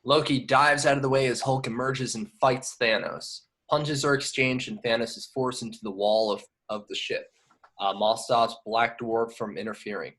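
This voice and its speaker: A man's voice: mid-range voice, steady tone, normal speed, fairly bright tone. A man narrating scripted action descriptions at a table read. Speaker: stage direction narrator.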